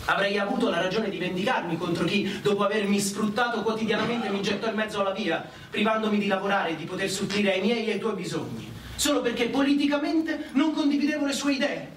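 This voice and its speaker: A man performing a literary monologue in an earnest, declamatory theatrical manner. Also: high voice. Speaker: performer reciting. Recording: echoey, distant, faintly noisy pickup.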